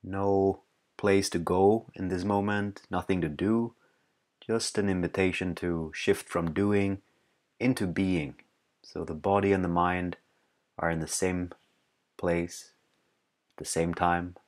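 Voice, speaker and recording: Very deep-voiced man guiding meditation. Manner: calm, unhurried, pausing between short phrases. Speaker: meditation teacher. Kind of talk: guided meditation, slow and soothing instruction.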